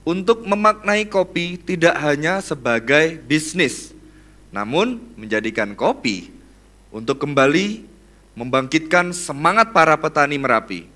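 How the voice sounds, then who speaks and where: A man's voice: fairly high pitch, animated, a little slow, pushed and bright. A man hosting an event, delivering a scripted introduction for the next speaker.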